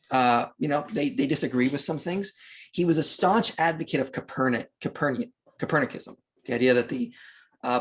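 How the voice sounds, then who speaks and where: A man's voice: a little high, husky. A man speaking as an interview guest, explaining history in unscripted podcast talk with an emphatic, argumentative manner.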